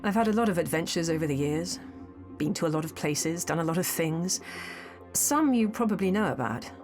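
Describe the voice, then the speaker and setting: Wry seasoned adventuress over music voiced by actress. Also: female voice, fairly low pitch, lively intonation, a little fast, natural voice. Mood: wry, reflective. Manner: knowing, conversational, wry. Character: seasoned wry woman adventurer recounting her past. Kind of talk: scripted audio drama monologue.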